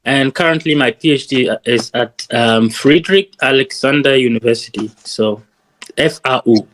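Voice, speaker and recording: A man in his thirties, a guest in a casual interview. He talks in a measured, thoughtful way and often pauses with "um". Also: medium pitch, fairly bright tone. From Ghana. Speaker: guest, doctoral student.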